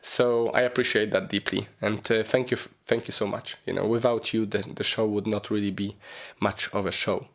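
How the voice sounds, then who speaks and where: A man hosting a solo podcast, his voice raspy and hoarse from a cold, talking loosely with lots of ums and you-knows. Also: fairly low pitch, flat delivery, moderate pace, few pauses. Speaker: podcast host. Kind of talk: casual solo podcast monologue.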